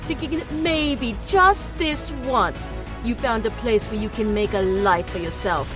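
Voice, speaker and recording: A woman's voice: a little high, unhurried, music in the background, natural voice. A woman playing a menacing villain in an audio drama, taunting with mock sweetness and condescension. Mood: scornful. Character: mocking, menacing villain taunting a young magician.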